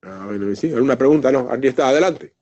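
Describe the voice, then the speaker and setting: A man's voice: mid-range voice, fast, muffled recording, slight background noise. A man from northern Argentina with an Argentine Spanish accent, lecturing and chatting at an easy pace with many fillers. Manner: relaxed, chatty, fillers like "este". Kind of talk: online lecture Q&A.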